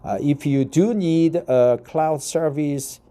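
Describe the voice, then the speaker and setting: A man in his fifties from Korea, with a Korean accent, reading an article aloud and commenting, halting with many fillers and repeated "right?"s. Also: on the high side, warm tone, smooth. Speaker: YouTube reader of articles.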